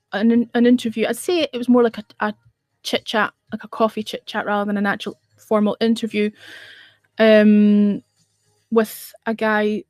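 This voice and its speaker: A woman's voice: medium pitch, darkish tone, no echo. A middle-aged woman hosting a casual livestream, rambling and chatting in reply to viewer comments. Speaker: radio show host on a livestream.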